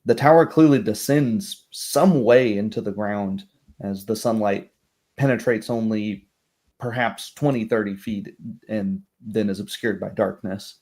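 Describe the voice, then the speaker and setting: Male game master, low-pitched, descriptive, halting narration of a tabletop game. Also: fairly even intonation, darkish tone.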